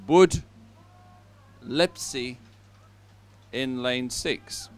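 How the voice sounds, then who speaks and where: A man's voice: mid-range voice, drawn-out words, faint music behind. A man hosting live sports commentary in a chatty, jovial way, as if talking with a colleague. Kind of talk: live sports commentary, relaxed co-host chat.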